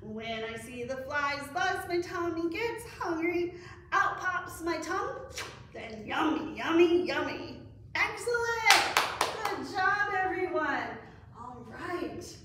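A woman's voice: sits high, expressive, takes it slowly, a bit bright. A middle-aged woman, a children's librarian, leading story time with cheerful sing-song counting and songs, recorded by a camera across the room. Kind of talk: story time reading and action songs for kids. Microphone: far camera. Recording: echoey room from far off, faint noise.

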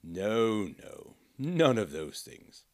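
A man's voice: lowish voice, very animated, drawn-out words, full character voice. A man voicing a humble, kindly monk who answers children patiently, in a storybook reading. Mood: gentle. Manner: soft, patient, warm-hearted.

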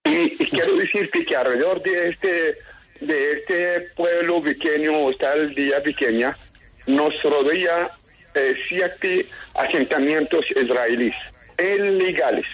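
Higher-pitched man on noisy line. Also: takes it slowly, a bit bright. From Palestine, West Bank. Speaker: interview guest, community representative. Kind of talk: live radio interview.

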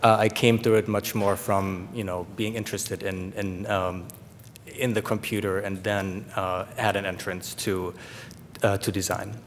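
German-accented man, middle-aged, guest lecturer; lowish voice, halting delivery, reverberant hall. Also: little pitch movement. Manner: halting, many fillers and restarts. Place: large lecture hall, at a podium. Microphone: podium microphone. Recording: long hall reverb, faint rumble.

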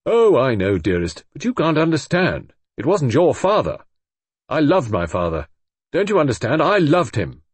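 A man voicing an excitable, vengeful middle-aged Englishman who talks loudly and indignantly. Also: fairly high pitch, normal speed, breathy edge, no room sound, pitched above the performer's own voice, exaggerated voice. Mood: pleading. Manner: loud, blustering, indignant.

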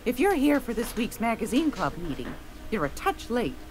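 A woman's voice: fairly high pitch, very animated, moderate pace, noisy background. An actress playing a friendly, motherly shopkeeper in a video game, chatty and obliging with a folksy manner.

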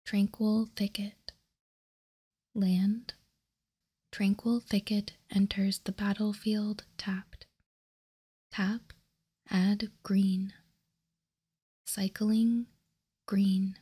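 A woman whispering softly for ASMR, reading aloud in deliberate, measured short phrases close to the microphone. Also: medium pitch, little pitch movement. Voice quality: soft, breathy whisper. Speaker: ASMR video host reading trading cards. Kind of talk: whispered ASMR, slow card read-out.

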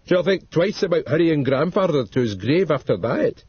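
Man voicing a determined young husband, higher and intense; husky, close and dry. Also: lively intonation, normal speed, no room sound. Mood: grim. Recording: dull dry studio read.

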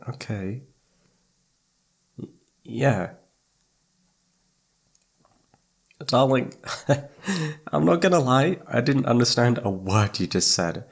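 A sweet, needy young boyfriend, acted by a man for an ASMR roleplay. His mid-pitched voice has plenty of creak in it. He is playful and pouty, teasing closely and tripping over words when flustered. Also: heavily acted. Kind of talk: intimate ASMR roleplay acting.

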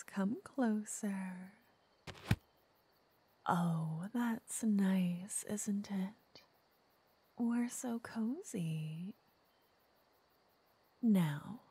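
Breathy, flirty witch, voiced by a woman. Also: mid-range voice, exaggerated voice. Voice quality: soft, breathy, often whispering. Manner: flirtatious, coaxing, intimate. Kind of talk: ASMR roleplay. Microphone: close microphone.

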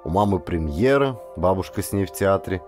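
A man with a fairly low voice narrates a literary audiobook in the first person. His delivery is dramatic and deliberate, with lively rises and falls in pitch. Music plays behind him.

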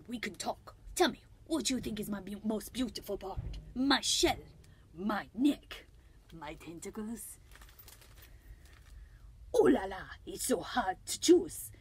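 Storybook snail performed by a woman: male, pompous, dramatic, thick fake French accent, higher-pitched delivery, faint noise behind. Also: very animated, moderate pace. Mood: vain, coaxing. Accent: exaggerated mock French. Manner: pompous, theatrical. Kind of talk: storybook read-aloud character.

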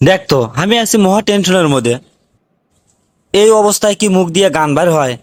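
A man's voice: sits high, lively intonation, brisk, slight background noise. A man voicing an anxious, broke young village boyfriend in a comedy cartoon, with a North Bengal accent and a whining, exasperated way of talking. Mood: anxious, grumbling. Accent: North Bengal Bangladeshi. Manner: whining, exasperated comic complaining.

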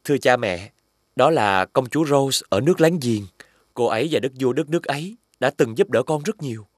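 A man voicing an earnest, brave young fairy-tale prince in an expressive children's cartoon style. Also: mid-range voice, a little fast, no room sound, clean studio sound, close to an everyday voice. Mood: hesitant, respectful.

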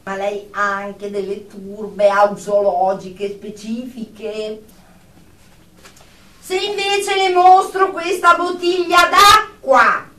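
An officious radio-drama psychiatrist acted by a woman speaks slowly and pompously, with clinical, scolding authority. The sound is roomy and off-mic, with faint noise. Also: a little high, pushed and bright. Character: stern, officious female psychiatrist assessing a patient. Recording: roomy, distant, noisy amateur recording.

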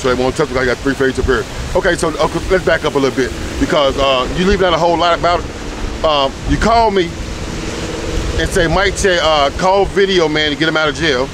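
A man's voice: fairly high pitch, fast talker, noisy background. A man giving a blunt, profane lecture in casual street talk, speaking into a handheld phone in a rainy parking lot. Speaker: street interviewer.

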